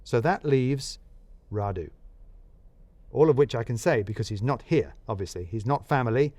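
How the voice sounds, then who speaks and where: A man's voice: mid-range voice, animated, moderate pace, a little mellow, dry recording. A man narrating a scripted audio drama as a detective presenting his case, dry and wry.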